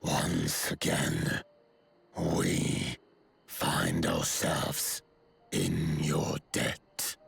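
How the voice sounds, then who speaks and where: An actor playing a solemn, towering giant elder in a video game, with a low gravelly voice and slow, formal speech. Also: male voice, animated, exaggerated voice.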